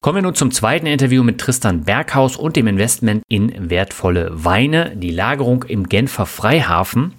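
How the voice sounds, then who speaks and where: A man hosting a finance podcast, speaking politely and in a measured way as he wraps up one interview and introduces the next. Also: mid-range voice, lively intonation. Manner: polite, measured, formal thanks. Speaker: podcast host.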